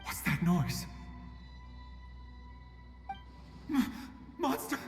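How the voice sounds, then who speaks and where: A man's voice: high voice, huge pitch swings, measured pace, heavily acted. An actor playing an anxious, devoted father in a video game, earnest and fretful as he presses on. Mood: alarmed. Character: anxious, devoted father searching through danger. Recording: echoey reverberant game audio. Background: prominent music.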